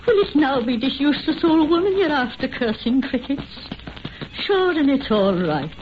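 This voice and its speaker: A woman's voice: sits high, very animated, normal speed. An actress playing a lonely, superstitious old Irish mother with a lilting, theatrical delivery in an old-time radio horror drama. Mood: mournful, resigned. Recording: dull, noisy old radio recording.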